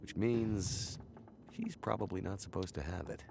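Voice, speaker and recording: An actor playing a bush pilot in a video game, matter-of-fact with a dry, wry manner. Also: male voice, low-pitched, a little slow, creaky, noisy background. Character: gruff bush pilot man, dry humor. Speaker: game character. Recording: game audio with loud music.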